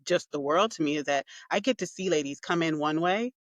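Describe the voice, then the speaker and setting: A woman guest speaking with warm, heartfelt enthusiasm in a casual interview. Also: low-pitched, animated, brisk, no echo, studio quality.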